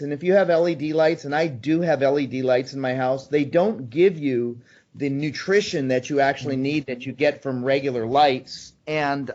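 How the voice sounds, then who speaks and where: A man in his early sixties speaks with eager, persuasive energy as an interview guest, his voice sitting a touch high for a man. He often breaks off into ums and restarts. The recording sounds slightly dull and muffled. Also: darkish tone. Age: 60s. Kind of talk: conversational interview answers.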